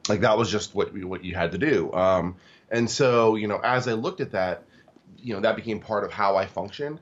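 A man in his forties, a guest in an interview, talking in a halting way with many fillers and restarts. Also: lowish voice, dull audio. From Tacoma, Washington, US. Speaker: guest, cybersecurity executive. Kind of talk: interview conversation.

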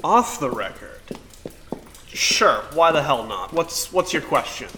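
A man playing a skeptical, defensive police officer in a cyberpunk audio drama, with a halting, dismissive way of talking. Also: a little high, very animated, bright, forceful tone, a little hiss or hum, heavily acted. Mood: wry, reluctant. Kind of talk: naturalistic audio drama acting.

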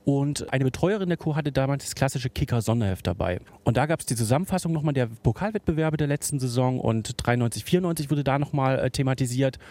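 A man in his thirties from Dresden speaks as an interview guest. He talks quickly, earnest and reflective, now and then pausing or restarting a phrase. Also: mid-range voice, lively intonation, mellow. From Dresden, Germany. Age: 30s. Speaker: guest, football fan. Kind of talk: interview storytelling.

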